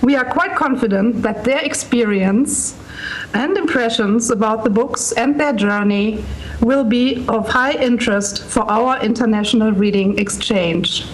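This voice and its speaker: A woman's voice: on the high side, a little slow, rough recording. A woman with a German accent giving a prepared presentation over a video call with background noise. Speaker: library staff member presenting a project. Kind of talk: prepared speech at a launch event.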